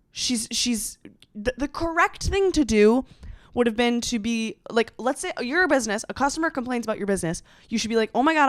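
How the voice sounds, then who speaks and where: A young American woman hosts a casual comedy podcast, speaking in a smooth, clean voice. Her delivery is slangy and sarcastic, leaning hard on key words for drama. The sound is dry and close, with no room on it. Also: fairly high pitch, no room sound. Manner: animated storytelling, slangy, sarcastic, dramatic emphasis.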